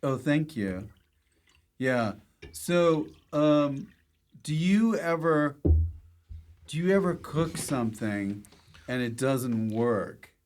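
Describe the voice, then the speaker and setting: A man hosting a casual interview, asking questions in a halting, wry way. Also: mid-range voice, animated, a little mellow, soft background music. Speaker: podcast host.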